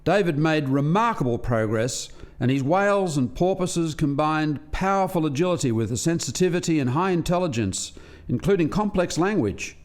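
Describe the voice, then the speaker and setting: A man's voice: on the high side, lively intonation, moderate pace, lightly acted. A man as an earnest, explanatory scientist. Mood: earnest, storytelling. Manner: explanatory, articulate, formal. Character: Gary, earnest male alien scientist explaining experiments to colleagues. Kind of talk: radio play acting.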